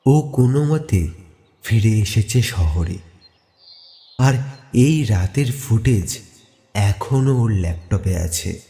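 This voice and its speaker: A man narrating a scripted horror story in a suspenseful way, deliberate and with dramatic pauses. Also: fairly low pitch, expressive, mellow.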